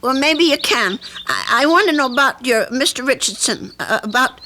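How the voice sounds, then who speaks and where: A woman's voice: sits high, expressive, moderate pace, pushed and bright, slight background noise, full character voice. An actress playing an anxious, flustered elderly landlady with a hesitant manner in an old radio drama. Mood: nervous, polite. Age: older. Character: anxious, fretful elderly landlady, reluctant but conscientious. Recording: vintage radio drama recording.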